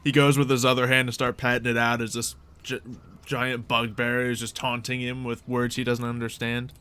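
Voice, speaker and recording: A man casually narrating and refereeing a tabletop roleplaying game as game master, improvising the story in an animated way. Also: medium pitch, normal speed, smooth.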